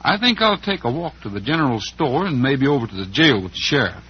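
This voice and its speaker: An actor playing an easygoing, kindly cowboy hero with a Western accent in an old-time radio show. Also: male voice, mid-range voice, big pitch swings, quick pace, close to an everyday voice. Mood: alert, careful. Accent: American Western. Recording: muffled, hissy old radio recording.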